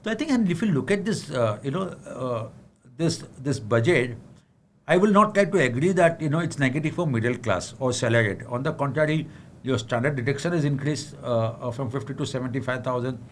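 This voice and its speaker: A man's voice: medium pitch, animated, a little fast, a little hiss or hum. A middle-aged man with an Indian English accent answers viewer questions about the budget, speaking frankly with many fillers and restarts, adding tag questions and sometimes switching into Hindi. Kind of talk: livestream Q&A on the budget.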